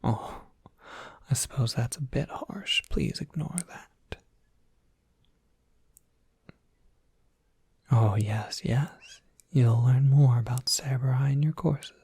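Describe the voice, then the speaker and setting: Breathy whisper, performer playing polite monster academy professor, slow, scholarly, rambling, close dry ASMR roleplay. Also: medium pitch, mellow, dry recording, heavily acted. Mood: chatty, genteel.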